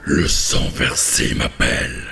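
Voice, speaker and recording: A man voicing a bloodthirsty, menacing villain with a gravelly voice, in amateur dub style. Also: very deep voice, slow pace, echoey room, loud music behind the voice, pitched below the performer's own voice, full character voice. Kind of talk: amateur fandub character acting.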